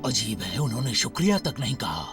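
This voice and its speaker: A man's voice: medium pitch, big pitch swings, fairly quick. A man voicing a blunt, grumbling and puzzled rescue robot in a dubbed cartoon. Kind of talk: animated series dub. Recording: loud music behind the voice. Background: music.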